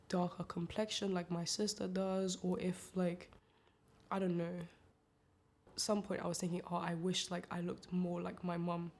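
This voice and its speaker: A woman's voice: on the low side, darkish tone. A young woman speaking candidly in an interview, hesitant and full of fillers. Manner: hesitant, filler-heavy, reflective. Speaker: documentary interviewee.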